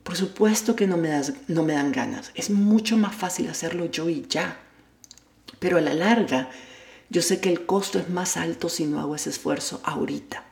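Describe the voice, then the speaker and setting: Low-voiced woman coaching in an echoey room. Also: moderate pace, vocal fry, darkish tone. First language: Spanish. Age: adult with a child at home. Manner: candid, self-deprecating, addresses the listener directly. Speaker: life coach and podcast host. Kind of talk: motivational monologue.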